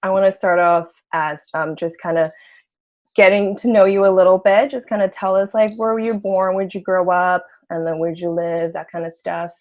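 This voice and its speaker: Low-voiced woman hosting an interview. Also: level tone, normal speed, a little mellow. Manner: encouraging, many short backchannels. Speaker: host.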